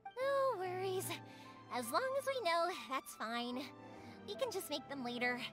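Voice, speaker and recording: A woman voicing a cheerful, excitable young firework maker in a video game, chatty and expressive. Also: very high-pitched, unhurried, over music, exaggerated voice. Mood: warm, chatty. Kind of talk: English video game voice acting.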